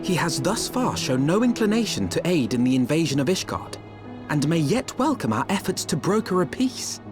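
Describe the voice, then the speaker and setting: An actor playing an earnest, courteous young scholar in a fantasy video game, with eloquent, formal speech. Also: male voice, fairly high pitch, very animated, moderate pace, music in the background.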